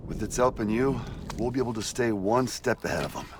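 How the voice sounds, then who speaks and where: Blunt veteran crewman over loud vehicle noise man acting. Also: medium pitch, fairly quick, lightly acted. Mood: businesslike. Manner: blunt, salty, folksy. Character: brash, world-weary veteran male corpse-disposal crewman. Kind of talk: cinematic video game acting and narration.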